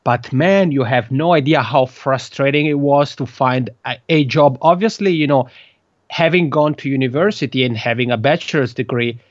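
A man's voice: medium pitch, normal speed, no room sound, dull audio. A man in his thirties from the Italian-speaking part of Switzerland, with an Italian accent, a podcast guest who talks casually and often restarts his phrases. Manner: hesitant, repeats words while searching for phrasing. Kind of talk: conversational interview.

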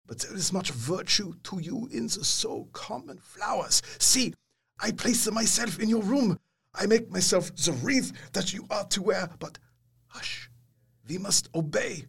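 An elderly professor in a radio play. His husky, higher-set voice swings theatrically as he speaks in stilted, emphatic phrases, fierce yet kindly underneath. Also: expressive, unhurried, a bit bright, heavily acted. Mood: tender, hushed. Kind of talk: dramatic audio drama acting.

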